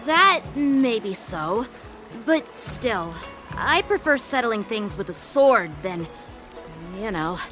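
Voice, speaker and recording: A woman's voice: on the high side, very animated, slow pace, a bit bright, faint music behind, heavily acted. A woman playing an earnest, steadfast young knight in a video game, speaking with composure. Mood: doubtful. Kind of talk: scripted video game acting.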